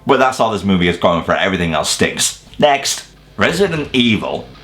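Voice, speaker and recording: A man with a fairly low voice and a very animated delivery narrates a scripted review. He is opinionated and casual, happy to swear, and there is light music behind him. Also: normal speed. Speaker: video presenter, film reviewer. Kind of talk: scripted review narration for an online countdown video.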